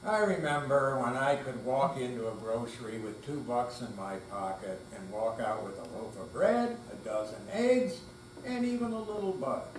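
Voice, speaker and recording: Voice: mid-range voice, animated, normal speed. An older amateur stage reader delivers lines in a dramatic reading. The voice sits far from the microphone in a very echoey room, with a faint hum underneath. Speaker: readers theater performer. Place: hall at a senior center.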